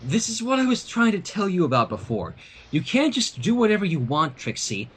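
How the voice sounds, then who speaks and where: A man's voice: sits high, wildly swooping pitch, fairly quick, slightly rough, full character voice. A man voicing a patient, sensible young female pony princess who reasons with mild exasperation, in a storybook reading. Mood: exasperated.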